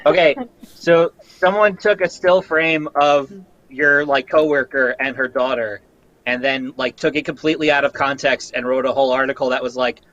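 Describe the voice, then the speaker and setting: A man's voice: mid-range voice, steady tone, normal speed, fairly bright tone. A man chatting casually and telling an anecdote, heard through a lo-fi microphone. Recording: dull lo-fi microphone sound.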